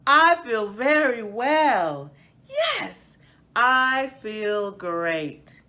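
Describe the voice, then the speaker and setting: Lady doing a young girl tree from a storybook, earnest, grateful, bit higher, very drawn out. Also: wildly swooping pitch, drawn-out words, sharp tone, full character voice. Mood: joyful.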